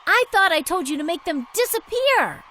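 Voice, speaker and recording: Pompous, high-voiced diva villainess. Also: female voice, sing-song, normal speed, pushed and bright, heavily acted. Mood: indignant, scheming. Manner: pompous, theatrical. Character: haughty, scheming diva villain, woman singer. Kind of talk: animated film acting. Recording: crowd noise behind.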